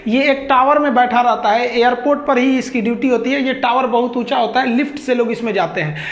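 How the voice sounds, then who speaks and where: A man's voice: extremely high pitch, fairly quick. A man teaching a class, explaining step by step with folksy joking asides. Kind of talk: classroom lecture. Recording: echoey, dull classroom recording.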